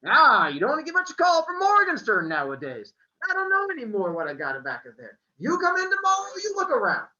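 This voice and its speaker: A reader voicing a gruff, impatient old male bookseller with a thick put-on Italian-style accent. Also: high-pitched, huge pitch swings, a little fast, few pauses, voice pushed up, exaggerated voice. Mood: grumbling. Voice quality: thick accent, loud and blustery. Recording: echoey room, dull sound.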